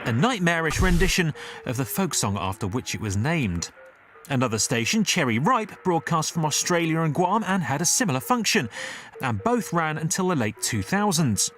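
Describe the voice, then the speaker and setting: A man's voice: fairly high pitch, sing-song, normal speed, fairly bright tone. A man reading scripted investigative narration as a documentary narrator.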